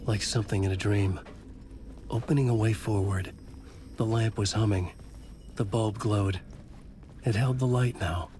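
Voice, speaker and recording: An actor playing a troubled, brooding writer in a video game, with a terse, grave delivery. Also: male voice, on the low side, steady tone, measured pace, pauses often, mellow, natural voice. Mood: brooding, wondering. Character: troubled, trapped male writer, brooding and determined. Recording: clear ambient noise behind voice. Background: game ambience.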